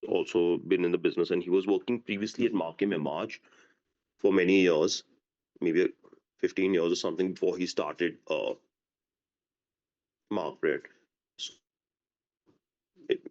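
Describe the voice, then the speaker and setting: Male executive, deep voice, halting unscripted answers full of restarts, muffled lo-fi conference line. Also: darkish tone. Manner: halting, often restarting phrases. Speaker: company executive answering on an earnings call. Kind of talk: unscripted business Q&A. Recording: dull, lo-fi conference call audio.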